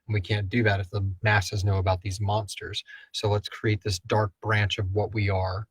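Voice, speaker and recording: A man's voice: on the low side, level tone, normal speed, husky, dry recording. A man hosting a casual question-and-answer chat, rambling and thinking aloud as he speculates. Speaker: host of a live audio drama Q&A.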